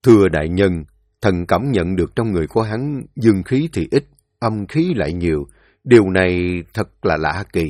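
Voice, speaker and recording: A man's voice: low-pitched, lively intonation, normal speed, darkish tone, no room sound, studio quality. A man voicing a deferential, hesitant older royal physician in a storybook reading. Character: humble older male royal physician, cautious.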